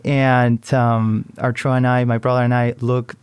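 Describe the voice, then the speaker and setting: Young chilean guy on a podcast, smooth clear voice, pretty flat tone, lots of ums. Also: medium pitch, normal speed, no echo. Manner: relaxed, lots of uh and um fillers. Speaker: guest, company founder. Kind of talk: conversational interview.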